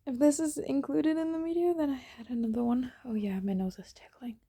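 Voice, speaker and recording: Husky sniffly woman between sneezes. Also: sits high, fairly even intonation, brisk, dark tone. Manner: breathy, sighing, muttering between sneezes. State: sniffly, stuffed-up nose. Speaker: vlogger. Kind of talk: casual self-recorded vlog. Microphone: phone.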